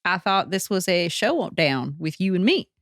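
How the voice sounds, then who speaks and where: A woman playing a sassy, confident gunslinger in a tabletop western, trading drawn-out challenges. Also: on the low side, sing-song, fairly bright tone, no echo, studio quality, voice pushed down. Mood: indignant.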